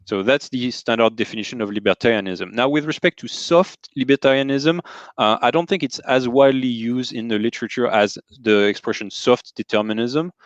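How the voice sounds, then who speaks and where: A man's voice: mid-range voice, brisk, no echo, lo-fi sound. A man from France with a French accent, a guest philosopher who explains ideas carefully, defining terms step by step. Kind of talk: careful explanatory interview talk.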